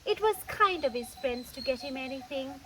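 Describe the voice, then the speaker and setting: A high-voiced woman reads a children's story with lively swings in pitch. She is teacherly and expressive, drawing out key words and asking questions. There is clear noise behind her. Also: moderate pace. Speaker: storyteller reading aloud to children. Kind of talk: interactive picture-book read-aloud with questions.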